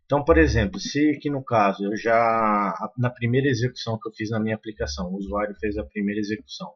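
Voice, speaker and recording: A man explaining code step by step in a screen-recorded tutorial, with many fillers and restarts. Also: mid-range voice. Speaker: programming tutor. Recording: muffled lo-fi sound.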